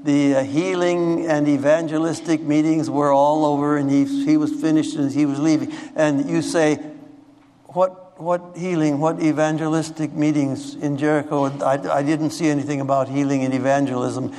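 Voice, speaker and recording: A man's voice: a little high, level tone, moderate pace, warm tone. A man preaching a sermon in a conversational way, often restarting and repeating words and asking the audience questions. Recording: long reverb of a large hall.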